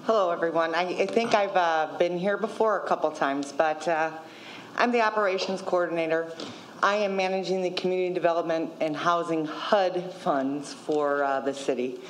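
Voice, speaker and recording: A woman's voice: lowish voice, moderate pace, slight background noise, low-quality recording. A woman in her fifties, a city operations coordinator, giving a matter-of-fact report at a podium in a council chamber. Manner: matter-of-fact, listing figures. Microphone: podium microphone.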